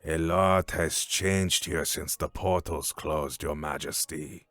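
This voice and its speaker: A man playing a formal, authoritative elder leader in an animated fan dub, solemn and measured. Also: extremely low pitch, faint music behind, exaggerated voice. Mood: grave authority.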